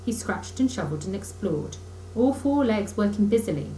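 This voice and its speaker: A woman's voice: mid-range voice, lively intonation, moderate pace, dark tone. A woman narrating a children's story aloud expressively, like a teacher reading to pupils. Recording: roomy echo, faint background noise.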